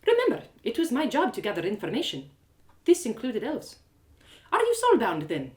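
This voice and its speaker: A woman's voice: on the high side, wildly swooping pitch, normal speed, pitched above the performer's own voice. A woman voicing a frank, inquisitive former woman thief in an audio drama, talking in a chatty, knowing, probing way.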